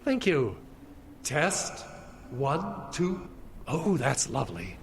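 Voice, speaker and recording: Fussy older gentleman, swooping voice. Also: mid-range voice, sing-song, takes it slowly, a little hiss or hum. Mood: wry, reproachful. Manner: genteel, theatrical phrasing. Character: fussy old-fashioned gentleman landlord, theatrical and reproachful. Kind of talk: comic radio drama acting.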